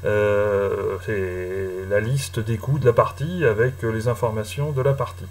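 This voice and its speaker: A man giving a casual screen-recorded software tutorial, talking slowly with many hesitant fillers. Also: lowish voice, fairly even intonation. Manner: hesitant, many "euh" fillers, chatty and apologetic. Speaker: tutorial host.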